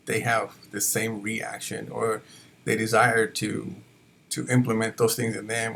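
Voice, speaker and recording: A man's voice: lowish voice, vocal fry, fairly bright tone, breathy edge. A college faculty man reflecting on his teaching in a hesitant way, with many fillers and restarts. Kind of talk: reflective personal talk.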